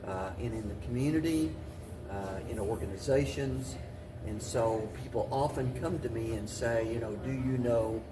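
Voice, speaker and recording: A man speaking as an interview guest and city mayor, giving halting, measured answers and often repeating phrases. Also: mid-range voice. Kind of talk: talk show interview answers. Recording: distant mic, clearly noisy room.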